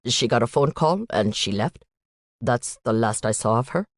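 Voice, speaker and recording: A polite, soft-spoken young man with a questioning lilt, his voice dropped low, as a woman reads him. Dry, close audiobook recording. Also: lively intonation, normal speed, no room sound, heavily acted. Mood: concerned.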